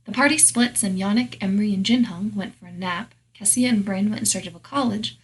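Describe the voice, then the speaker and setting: A woman's voice: medium pitch, fairly quick, husky. A woman narrating a scripted story recap for a tabletop game show. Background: faint music.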